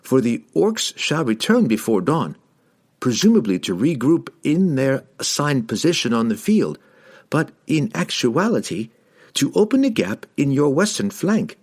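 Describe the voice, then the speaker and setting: A man plays an earnest, crafty little halfling in a fantasy audiobook. The voice is pitched up from his narration, husky and melodic, laying out each point with care. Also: darkish tone. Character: small male halfling, earnest, cunning liar under pressure. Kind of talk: audiobook character acting.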